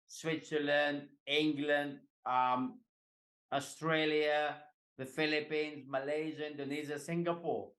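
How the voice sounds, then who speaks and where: A man in his forties from Greece talks loosely, slowing down with fillers and small laughs now and then. His voice sits fairly high, and he comes through a video call. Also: poor audio. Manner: relaxed, halting, many fillers and light laughs. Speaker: guest, executive coach. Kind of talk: casual interview chat.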